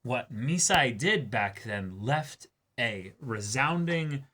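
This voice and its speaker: A man's voice: mid-range voice, expressive, slow, pushed and bright. A man co-hosting a reaction show, talking casually and wordily as he analyses an anime episode.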